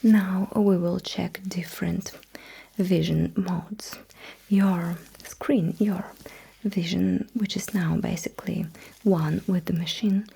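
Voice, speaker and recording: Slow whispering ASMR woman, soft and breathy. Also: lowish voice, fairly even intonation. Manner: soft, reassuring, instructive. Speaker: ASMR roleplay performer as a sci-fi technician. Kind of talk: ASMR roleplay.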